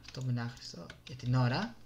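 A man's voice: medium pitch, muffled recording, background noise. A man with a Greek accent giving casual, exasperated gameplay commentary, with some swearing, into a desktop microphone. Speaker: gaming video host. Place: indoors at a computer.

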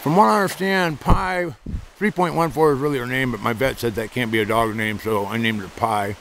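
Man, mid pitch, casual rambling outdoor vlog talk, handheld camera, faint background noise. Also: a little fast, vocal fry, fairly bright tone. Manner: talks to his dog between asides, chatty and meandering. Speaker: service dog trainer and vlogger. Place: outdoors by a creek.

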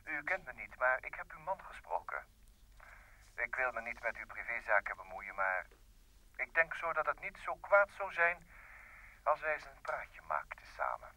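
An actor playing a smooth, talkative young private secretary and blackmailer, theatrically polite, in vintage radio drama style. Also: male voice, mid-range voice, very animated, normal speed, pushed and bright, heavily acted, poor audio. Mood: insinuating. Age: young adult. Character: smooth, sly thirty-year-old New York private secretary, a blackmailer. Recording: old radio play recording with music.

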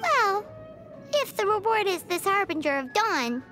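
A woman voicing a tiny, indignant but easily won-over girl companion in a video game, with a squeaky childlike voice and an exclamatory manner. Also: very animated, measured pace, pushed and bright, heavily acted. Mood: mollified. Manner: speaks of herself in third person, exclamatory. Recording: music behind the voice.

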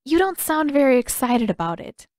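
A woman's voice: high-pitched, sing-song, normal speed, darkish tone, dry recording, clean studio sound, voice pushed up. A woman voicing a frank, caring, determined teenage girl with direct, casual phrasing in an audiobook story.

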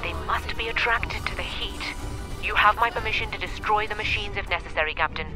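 A woman's voice: mid-range voice, fairly quick, over music, lightly acted, rough recording. A woman playing a coolly authoritative space station director in a video game, formal and controlled. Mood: insistent. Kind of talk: video game dramatic acting.

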